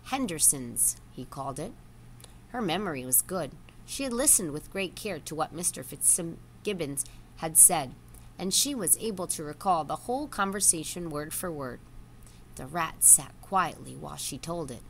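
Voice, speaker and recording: A woman's voice: sits low, animated, normal speed, vocal fry, a little hiss or hum, rough recording. A woman reading a children's novel aloud as a story reader, expressively voicing the dialogue within the narration.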